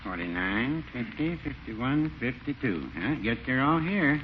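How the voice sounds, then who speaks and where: A man's voice: medium pitch, sing-song, measured pace. An actor playing a mild, polite, hesitant older man in a 1940s radio comedy. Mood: mild, prim. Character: mild, prim, meek older small-town man. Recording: scratchy, muffled 1940s radio recording.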